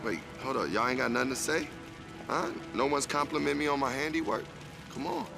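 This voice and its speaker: An actor voicing a cocky, joking demolitions expert with a colloquial, swaggering way of talking in a video game. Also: male voice, mid-range voice, sing-song, a little fast, creaky, over music. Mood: boastful. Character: cocky, folksy male demolitions expert in the resistance. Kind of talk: video game cutscene acting.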